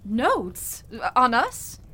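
A woman's voice: on the high side, huge pitch swings, drawn-out words, sharp tone, slightly rough, exaggerated voice. A woman playing an anxious, questioning guest in a golden age style radio mystery.

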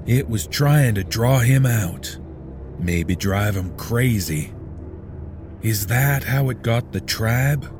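A man narrating a horror story in a measured, grave, ominous delivery. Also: medium pitch, expressive. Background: background music.

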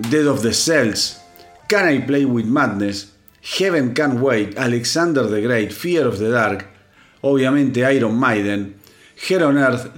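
Stuffy-nosed guy rambling through rock news, lots of ums, faint music under him. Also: mid-range voice. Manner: chatty, with many fillers and restarts. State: congested, sore throat. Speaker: rock news podcast host. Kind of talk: solo news commentary.

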